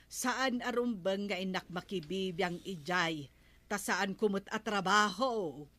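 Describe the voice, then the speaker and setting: A woman's voice: mid-range voice, a little slow, a bit bright, no echo, close to an everyday voice. A woman with an Ilocano accent playing a village captain's exasperated, nagging wife in a comedy radio drama. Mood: resigned complaint.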